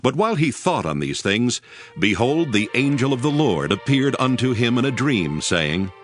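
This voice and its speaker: Expressive male scripture narrator over music. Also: medium pitch, normal speed. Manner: solemn, expressive.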